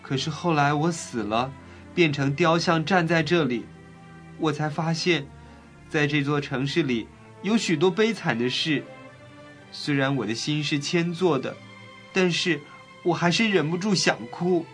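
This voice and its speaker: A man playing a gentle, sorrowful prince statue, speaking earnestly and mournfully in a children's audio storybook. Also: a little high, measured pace, soft background music.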